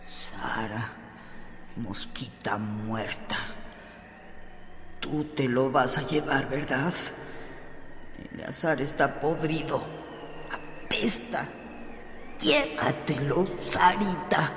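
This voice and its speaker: An actress playing a bitter, jealous, dying woman who taunts slowly and dramatically in a radio drama. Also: rumbling low, a little hiss or hum, full character voice. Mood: bitter mockery.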